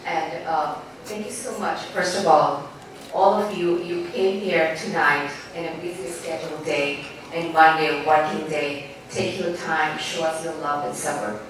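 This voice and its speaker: A woman in her forties with a Bangladeshi accent speaks at a formal event, and her heartfelt, halting phrasing keeps circling back. Her voice sits fairly low. She is heard from well off the mic in a strongly echoing hall, with a faint room hum beneath her. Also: fairly even intonation, far from the mic, crowd noise. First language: Bengali. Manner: halting, heartfelt, loose grammar. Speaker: newspaper editor giving a speech. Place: large banquet hall.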